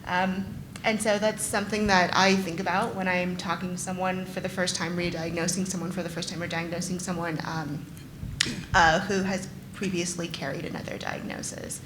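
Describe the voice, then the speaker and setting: A woman's voice: on the low side, flat delivery, normal speed, background noise. A woman neurologist explaining things to patients at a live panel, thoughtful and hesitant, with many ums. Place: event venue in front of an audience.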